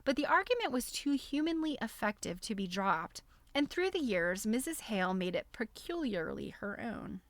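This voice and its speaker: A woman hosting a live stream, reading a book aloud in an expressive, amused way with chatty, casual asides. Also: fairly high pitch, normal speed, dry recording.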